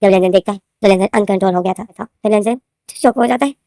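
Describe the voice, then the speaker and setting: A woman's voice: mid-range voice, quick pace, dark tone, no room sound, studio quality. A woman with a sore throat casually retelling her own fanfiction story, rambling and repeating herself as she talks to her viewers. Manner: rambling, repeats names and phrases, addresses viewers as guys. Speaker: fanfiction author and narrator.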